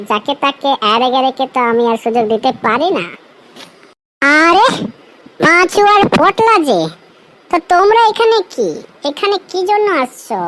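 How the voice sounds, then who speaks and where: Woman acting a saucy high-voiced cartoon sister-in-law. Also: fairly bright tone, exaggerated voice, rough recording. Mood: inviting. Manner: bold, earthy, suggestive. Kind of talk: comic cartoon dialogue.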